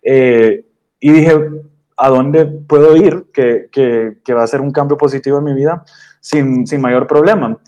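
A young man from Honduras answers interview questions at an easy, storytelling pace. His voice is dark and mellow, mid-pitched, and he talks with plenty of hesitations and restarts. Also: measured pace, lo-fi sound. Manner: hesitant, many fillers and restarts. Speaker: guest DJ and producer. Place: radio studio.

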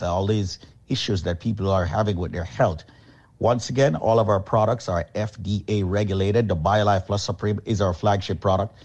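A man hosting a radio show and pitching a product, brisk, emphatic and repetitive. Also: a little mellow. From Jamaica. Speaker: radio host and company chairman.

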